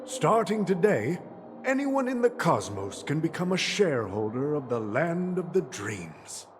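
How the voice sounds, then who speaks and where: Grandiose old tycoon, swooping voice. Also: male voice, fairly high pitch, big pitch swings, a little slow, big-room sound, music in the background. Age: older. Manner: grandiose, chuckling, condescending. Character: shrewd, jovial old male family boss and tycoon. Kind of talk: theatrical video game acting.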